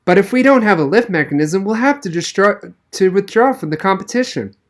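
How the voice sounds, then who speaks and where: Woman doing a dramatic schoolgirl, swooping pitch. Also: lively intonation, fairly quick, lightly acted. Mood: distraught. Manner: urgent, dramatic. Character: distraught, snappish schoolgirl robotics teammate.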